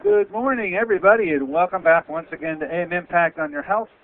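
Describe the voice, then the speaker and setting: Older male radio doctor-host, Western PA accent, loud and chatty, headset mic, thin phone-like audio. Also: high voice, fast talker, faint music behind. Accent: Western Pennsylvania. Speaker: radio show host, physician. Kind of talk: casual radio talk. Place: small office with framed certificates.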